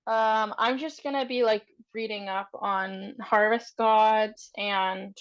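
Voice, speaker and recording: A woman thinking aloud haltingly and casually as a player in a tabletop roleplaying game. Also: mid-range voice, fairly even intonation, smooth, highs cut off. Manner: hesitant, halting.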